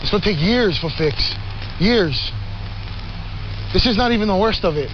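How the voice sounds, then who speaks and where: A man's voice: sits high, lively intonation, unhurried. A man from Hawaii giving an impassioned, distressed eyewitness account. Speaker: local eyewitness. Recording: muffled outdoor clip, faint noise.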